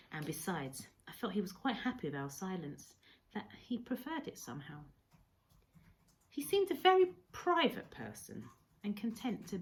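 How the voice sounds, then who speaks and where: A woman reading a storybook aloud like a teacher to pupils, in a measured, expressive storytelling manner. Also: lowish voice. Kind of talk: read-aloud storybook narration.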